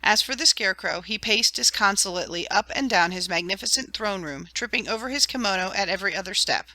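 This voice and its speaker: Woman storybook narrator, bright crisp tone, dry close sound. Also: mid-range voice, lively intonation, fast, dry recording. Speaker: audiobook narrator.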